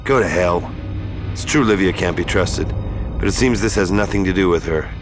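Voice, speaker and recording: An actor playing a gruff, bitter, wisecracking male space prospector in a video game cutscene. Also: sits low, moderate pace, natural voice. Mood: dry, wry. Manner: sardonic, wisecracking, drawling retorts. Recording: music behind the voice.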